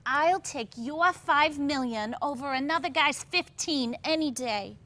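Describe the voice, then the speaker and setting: A woman's voice: high voice, unhurried, sharp tone. A woman playing a teasing, loving 1950s housewife with dry sass in a staged play reading. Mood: reassuring.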